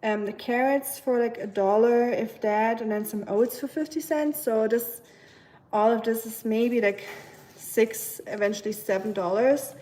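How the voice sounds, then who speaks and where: A woman's voice: a little high, unhurried, warm tone, poor audio. A young woman from Germany with a German accent, chatting casually with livestream viewers while she cooks at home, giggling and cooing at her dog. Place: home kitchen.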